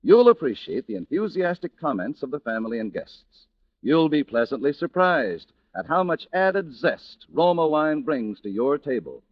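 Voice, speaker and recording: Lively 1940s radio ad man, very dry sound. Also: a little high, moderate pace, no room sound. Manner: polished, formal salesmanship. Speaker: commercial announcer. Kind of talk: 1940s radio advertisement, scripted. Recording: dull, lo-fi vintage radio recording.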